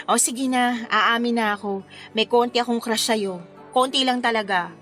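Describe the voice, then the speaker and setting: An actress playing a coy, smitten forty-year-old wedding planner who playfully protests in a romantic radio drama. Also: female voice, on the high side, unhurried, a bit bright, faint music behind.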